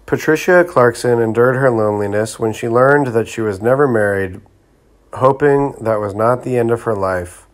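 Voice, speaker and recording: A man's voice: mid-range voice, normal speed. Man reading a scripted tribute narration. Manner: steady list-like reading of facts.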